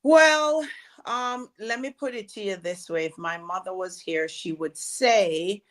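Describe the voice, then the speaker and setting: A Jamaican woman in her forties, a guest telling stories about her childhood in an unhurried way. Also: medium pitch, fairly bright tone. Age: 40s. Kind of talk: conversational interview.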